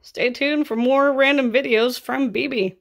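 A woman in her thirties with a scratchy, raspy voice and vocal fry, chatting casually through a grocery haul in her kitchen. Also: high voice, fairly even intonation, unhurried, lo-fi sound. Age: 30s. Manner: chatty and offhand, rising into exclaimed asides. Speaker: vlogger. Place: home kitchen, indoors.

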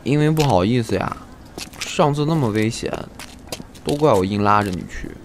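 An actor playing a friendly, caring, boyish young man in a radio drama, gentle and eager. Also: medium pitch, lively intonation, moderate pace, a little hiss or hum, lightly acted. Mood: friendly, apologetic. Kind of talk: Chinese radio drama acting.

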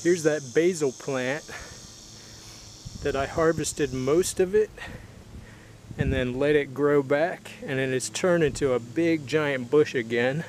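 A man's voice: a little high, takes it slowly, long pauses, darkish tone, a little hiss or hum, rough recording. A man with a plain, folksy way of talking, casually narrating his home gardening vlog.

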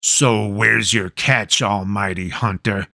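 A man voicing a greedy, petty patrol soldier who talks gruffly and impatiently. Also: fairly low pitch, fairly even intonation, slow pace, bright, forceful tone, no echo, studio quality, full character voice. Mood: suspicious.

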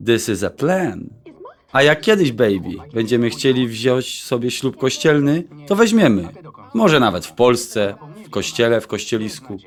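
A determined, teasing groom, played by a man in a radio play. His mid-pitched voice swings widely and emphatically, with English slang dropped into his Polish. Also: sing-song, a little slow. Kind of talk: audio drama acting, Polish mixed with English slang.

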